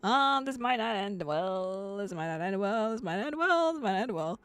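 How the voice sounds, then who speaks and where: Woman streamer, excitable reactions, swears and laughs. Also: medium pitch, moderate pace, clear, resonant tone. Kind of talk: gaming stream commentary.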